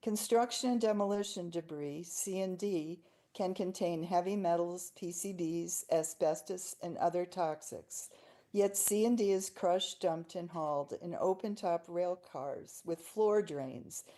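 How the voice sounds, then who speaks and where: Woman, low mellow voice, video call, reading prepared testimony, steady and pointed. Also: unhurried. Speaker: public testifier.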